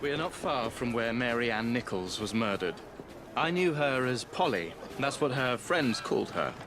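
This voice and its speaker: An actor with a British accent playing a weary, earnest Victorian police inspector in a video game, speaking in a grave, formal, measured way. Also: male voice, medium pitch, expressive, noisy background, natural voice. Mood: grim, weary. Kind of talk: dramatic video game cutscene acting.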